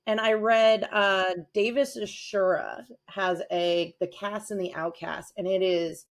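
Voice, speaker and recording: A woman's voice: medium pitch, steady tone, a little slow. A talkative, enthusiastic woman chatting casually as a guest on a podcast. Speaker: podcast guest, avid reader.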